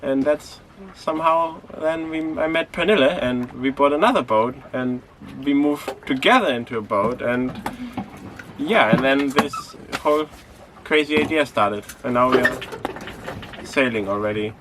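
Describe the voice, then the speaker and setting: Relaxed young man, hesitant vlog talk. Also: medium pitch, normal speed, a little hiss or hum. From Greece. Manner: relaxed, hesitant with many fillers and restarts. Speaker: sailing vlog host. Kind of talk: casual vlog narration.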